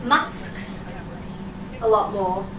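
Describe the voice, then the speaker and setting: A woman's voice: medium pitch, drawn-out words. An actress playing a rueful, sardonic laid-off flight attendant in her fifties, with a Yorkshire accent, in a theatrical monologue. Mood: wry, sardonic. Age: 50s. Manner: wry, confessional, sweary. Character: rueful fifty-two-year-old laid-off flight attendant, sardonic and earthy. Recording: roomy, distant mic, loud background noise.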